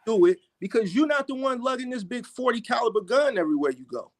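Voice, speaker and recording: A man's voice: extremely high pitch, animated, quick pace. A man in his forties, a guest on a casual podcast chat, talking in a laid-back, reflective way with lots of "you know what I'm saying.". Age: 40s. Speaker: guest, fellow YouTuber.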